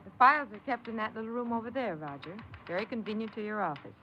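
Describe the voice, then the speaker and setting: An actress playing a friendly, encouraging young church group director in a 1950s radio drama. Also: female voice, on the high side, animated, fast, natural voice. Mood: cheerful, teasing. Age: young adult. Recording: muffled, hissy 1950s radio recording.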